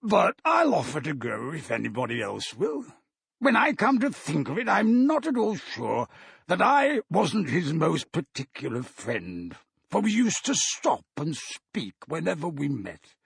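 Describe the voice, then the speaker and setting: A man's voice: on the high side, wildly swooping pitch, a little slow, creaky, husky, no room sound, clean studio sound, voice pushed up, exaggerated voice. A man voicing a self-important, flippant businessman in an audiobook, with a breezy, drawling manner. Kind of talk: audiobook character acting.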